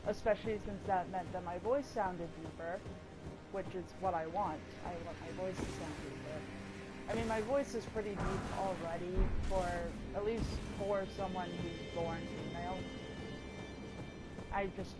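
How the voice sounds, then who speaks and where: A woman's voice: fairly low pitch, normal speed, rough recording. Rambling, swearing woman over game music. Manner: rambling, frequent swearing, startled outbursts at the game. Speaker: gaming video creator. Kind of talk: casual rambling commentary over gameplay.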